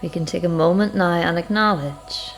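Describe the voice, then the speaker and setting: A woman guiding a spiritual meditation in an unhurried, reflective, measured way, with long pauses between phrases. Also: fairly low pitch. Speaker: guided meditation leader. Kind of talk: slow spiritual guided meditation narration. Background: music.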